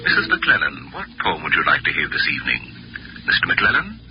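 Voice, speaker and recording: Wildly singsong mechanical house voice. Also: male voice, rumbling low, huge pitch swings, a little fast, heavily acted. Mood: brisk, polite. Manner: chanting, repetitive singsong announcements. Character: automated talking house clock, chirpy mechanical singsong announcer. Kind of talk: 1950s radio acting. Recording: dull vintage radio recording, loud music.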